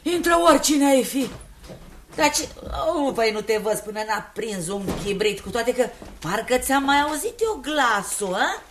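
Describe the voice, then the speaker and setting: An actress playing a sly, chummy old opium den keeper in a radio play, wheedling with folksy endearments and prodding questions. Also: female voice, fairly high pitch, animated, a little hiss or hum, lightly acted. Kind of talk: radio theatre acting.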